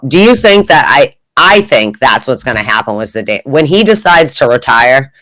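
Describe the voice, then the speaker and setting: A man's voice: medium pitch, very animated, moderate pace, bright, forceful tone, no room sound. A man co-hosting a casual hockey podcast, chatty and rambling, full of "like". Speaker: podcast co-host. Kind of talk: casual chat.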